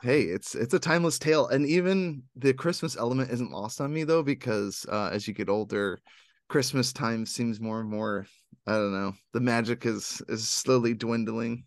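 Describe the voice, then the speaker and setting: A man's voice: medium pitch, moderate pace, no room sound, studio quality. A young man hosting a podcast in a casual, joking, informal conversational style. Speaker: podcast host. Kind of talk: casual chat.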